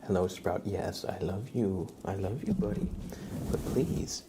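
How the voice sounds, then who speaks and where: A man hosting an ASMR livestream, chatting casually and playfully in a soft voice that often slips into whispers. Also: low voice, big pitch swings, measured pace, vocal fry, heavy background noise. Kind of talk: ASMR, casual chat to viewers and a pet cat.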